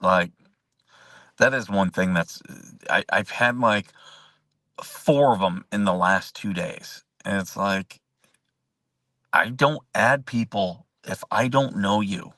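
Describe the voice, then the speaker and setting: A man's voice: fairly low pitch, slow pace, fairly bright tone, no echo, far from the mic. A man hosting a casual live chat show, rambling, chuckling often and answering viewer comments as he goes. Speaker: host.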